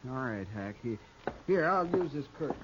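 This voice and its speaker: An actor playing a dim-witted, childish outlaw sidekick with simple, folksy rural speech in a radio western. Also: male voice, mid-range voice, lively intonation, normal speed, lightly acted. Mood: sulky menace. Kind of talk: old-time radio western acting. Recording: muffled, hissy vintage radio drama recording.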